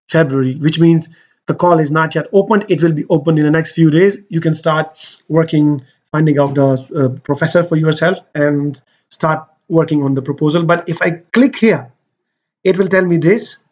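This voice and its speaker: Man talking through a lo-fi screen tutorial. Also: on the high side. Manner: loose and conversational, with many fillers and restarts. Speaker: host giving application guidance. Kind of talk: screen-share walkthrough tutorial.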